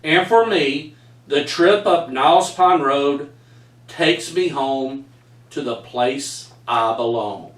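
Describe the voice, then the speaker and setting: A man's voice: medium pitch, slow, a bit bright, distant mic. Folksy Texan man reading in an echoey room. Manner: folksy storyteller, says y'all. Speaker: author reading his book aloud. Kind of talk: reading a personal story aloud. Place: home room with framed pictures, indoors. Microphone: far camera.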